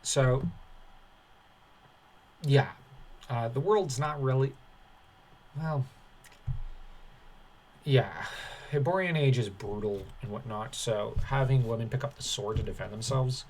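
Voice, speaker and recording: A man speaks at a middling pitch in the loose style of an online commentator. He rambles and halts as he works through his opinions. His manner is dismissive and dryly sarcastic. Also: takes it slowly, pauses often, darkish tone, a little hiss or hum, rough recording. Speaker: commentary channel host.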